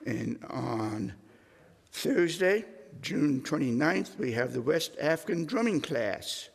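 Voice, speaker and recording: A man giving a congregation's welcome and announcements as worship associate, in measured, deliberate phrases. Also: fairly high pitch, expressive, vocal fry, breathy edge. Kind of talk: welcome and announcements, partly read. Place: congregation's meeting hall. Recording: reverberant hall.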